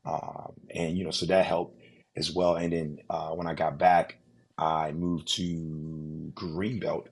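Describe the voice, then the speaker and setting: Husky low-voiced man telling his story. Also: takes it slowly. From United States. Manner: relaxed storytelling with many fillers and restarts. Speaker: guest, insurance agency owner. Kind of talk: casual interview.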